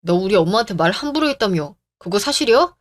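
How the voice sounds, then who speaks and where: A woman's voice: medium pitch, sing-song, quick pace. A woman voicing an entitled young male fiancé who talks in a demanding, sneering way in a story radio drama. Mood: angry.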